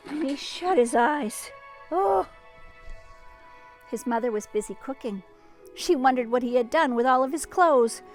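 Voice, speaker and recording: A woman reading a storybook aloud to young children, expressively, with dramatic pauses and little exclamations and asides to her listeners. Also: high-pitched. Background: music and sound effects.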